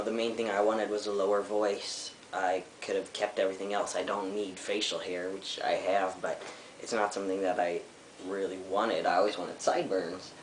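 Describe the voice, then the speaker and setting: A young man telling his personal story to a webcam at home, candid and reflective, pausing often with ums. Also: on the low side, roomy sound, a little hiss or hum, rough recording. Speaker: vlogger.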